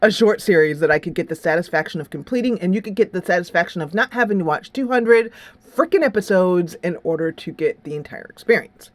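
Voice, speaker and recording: A woman's voice: on the low side, animated, fast, no echo. Chatty woman vlogger, close dry sound. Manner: chatty, informal, playful slang. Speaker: game reviewer and channel host. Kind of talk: casual solo vlog commentary.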